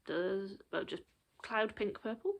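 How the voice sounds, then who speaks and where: A woman's voice: mid-range voice, measured pace, gravelly. A woman in her thirties chatting casually through a show-and-tell of her cross stitch projects, rambling with frequent ums and a little out of breath.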